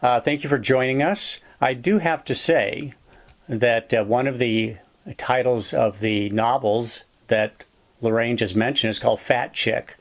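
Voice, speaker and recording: A man's voice: mid-range voice, a little slow. A man from upstate New York hosting a podcast interview, chatty and lightly joking. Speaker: podcast host.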